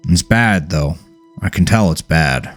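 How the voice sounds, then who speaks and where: Deep-voiced, folksy old father, acted by a man. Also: unhurried, soft background music. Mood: weary concern. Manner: folksy colloquial phrasing. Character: elderly plain-spoken father, folksy, weary, caring.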